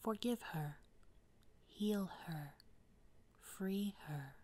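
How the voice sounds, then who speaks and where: A young woman whispering softly through a slow ASMR poetry reading, drifting close to and away from the microphone. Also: mid-range voice. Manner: soft unvoiced whispering, varied mic distance.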